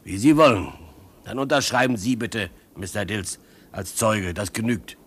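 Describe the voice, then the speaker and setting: An actor playing a callous, impatient ship's captain in a German radio play, curt and bureaucratic. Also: male voice, lowish voice, normal speed, fairly bright tone, breathy edge. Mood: coolly dismissive.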